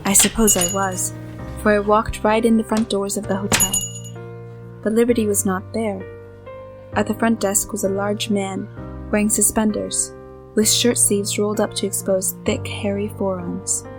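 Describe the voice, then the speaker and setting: An actress playing a composed heroine who narrates her own tale in an audio drama, measured and formal. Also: mid-range voice, level tone, natural voice. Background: cello music.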